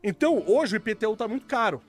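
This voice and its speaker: A man's voice: sits high, expressive, quick pace. A man in casual podcast chat, explaining his views bluntly and argumentatively. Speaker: podcast co-host.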